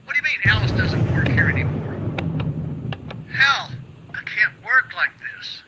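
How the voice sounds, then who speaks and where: An actor playing a troubled, high-strung radio host in a game's radio drama, halting when confiding and easily exasperated. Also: male voice, big pitch swings, heavy background noise. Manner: halting confessions, exasperated outbursts. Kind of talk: radio drama acting in a game.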